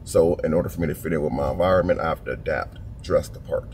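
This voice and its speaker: A middle-aged man from Indiana, hosting a prank, talking in a playful, mock-earnest, smooth manner inside a parked car. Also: rumbling low, quick pace, darkish tone, a little hiss or hum. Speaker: prank show host. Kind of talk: in-character prank chat. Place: outdoors on a street, then inside a parked luxury car.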